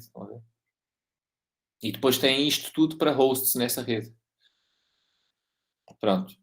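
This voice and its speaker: Male lecturer, European Portuguese accent, mid-range voice, informal technical teaching with restarts and frequent checks for understanding. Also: medium pitch. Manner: halting, repeats and rephrases, checks understanding often. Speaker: university lecturer.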